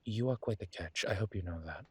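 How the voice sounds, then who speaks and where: Guy playing a flirty wry demon professor man, raspy low voice, playful audio rp, super dry sound. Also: brisk, a little mellow, no echo. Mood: warm, admiring. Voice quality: low, husky. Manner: teasing, playful, breathy asides. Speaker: voice actor in an audio roleplay. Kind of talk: intimate audio drama roleplay, conversational.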